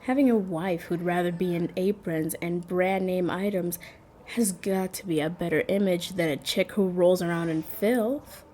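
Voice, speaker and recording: A woman's voice: fairly low pitch, normal speed, mellow, lightly acted. A woman playing a spoiled, catty young rival who condescends cutely, in an audio drama with background noise. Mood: catty, condescending.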